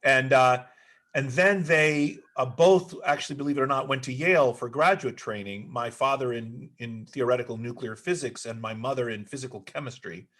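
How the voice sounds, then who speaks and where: A man's voice: medium pitch, moderate pace, fairly bright tone. A middle-aged man, born in the United States but with Greek as his first language, speaking as a guest on a video call, thoughtful and full of restarts. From United States, raised in Greece until six. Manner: thoughtful, many fillers and restarts. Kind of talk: remote interview conversation.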